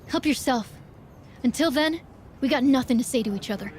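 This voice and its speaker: A woman's voice: high voice, animated, brisk, slight background noise. A woman playing a proud, sarcastic female wrestler in a video game cutscene, with a blunt, firm manner. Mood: defiant, sarcastic.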